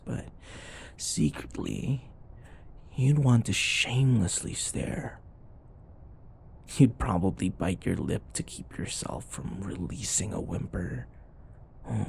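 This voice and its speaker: Man acting a cocky, flirty office rival, swinging pitch. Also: darkish tone, slight background noise, heavily acted.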